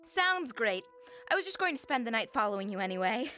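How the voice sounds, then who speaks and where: A woman's voice: a little high, very animated, a little fast, pushed and bright, faint music behind. A woman playing a sweetly menacing female stalker. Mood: cheerful. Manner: sweetly doting with a menacing edge. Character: obsessive ex-military female stalker, cheerful and dangerous.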